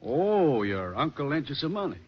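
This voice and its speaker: An actor playing a kindly grocery store owner who speaks in an encouraging way, in 1940s radio acting. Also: male voice, on the high side, very animated, normal speed, slightly rough. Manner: warm, encouraging. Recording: muffled old radio recording.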